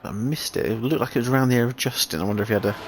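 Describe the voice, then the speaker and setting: Croaky, gravelly man commentating a race. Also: medium pitch, noisy background. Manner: halting, thinking aloud with restarts. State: croaky after sneezing. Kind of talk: sim racing commentary.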